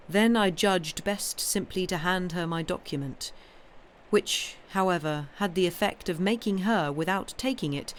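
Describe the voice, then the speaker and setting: A woman reading a classic novel aloud in an expressive, literary narrating style. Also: lowish voice. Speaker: reader, streamer. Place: live stream. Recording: clearly noisy background.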